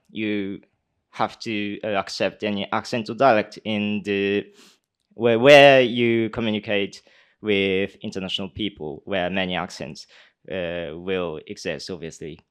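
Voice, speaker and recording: A man's voice: on the low side, steady tone, a little slow, clear, resonant tone. A Japanese university student in his twenties with a posh British accent, giving a speech and then answering questions hesitantly in a conference hall. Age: 20s. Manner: hesitant with many fillers when answering.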